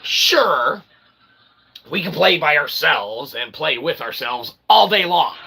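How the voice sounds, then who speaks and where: A man hosting a rambling livestream, speaking in a wordy, mock-grandiose, self-deprecating way with a gravelly voice. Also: fairly high pitch, animated, takes it slowly, sharp tone. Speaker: livestream host. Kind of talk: rambling livestream monologue to chat. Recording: noticeable background noise.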